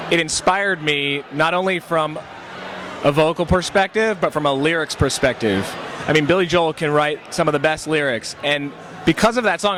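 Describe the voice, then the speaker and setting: A male pop singer from California recalling his influences in a thoughtful, reminiscent way. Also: on the high side. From California, USA. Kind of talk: casual interview answers. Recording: noticeable background noise.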